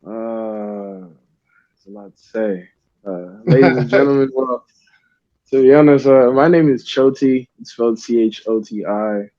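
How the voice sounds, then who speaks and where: A man's voice: mid-range voice, unhurried, dark tone, no echo. A young man from Uganda, a guest artist, talking in a laid-back, rambling way with many fillers. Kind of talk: casual interview chat. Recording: dull lo-fi dry sound.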